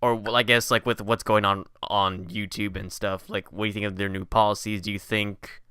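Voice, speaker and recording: A man's voice: fairly low pitch, level tone, fairly quick, bright, forceful tone, smooth, no echo, clean studio sound. A young man co-hosting a podcast in casual chat, talking in a hedging, rambling way. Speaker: podcast co-host.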